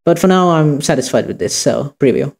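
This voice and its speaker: A man hosting an informal software tutorial, talking casually and hesitantly as he goes. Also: fairly high pitch, animated, fairly quick, creaky. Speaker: tutorial host. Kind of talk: informal screen-recorded tutorial.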